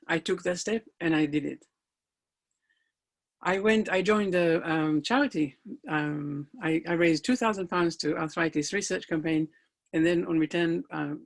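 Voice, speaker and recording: A man's voice: on the high side, creaky. A middle-aged man, a life coach whose first language is not English, telling his personal story in an earnest, motivational talk with emphatic rises.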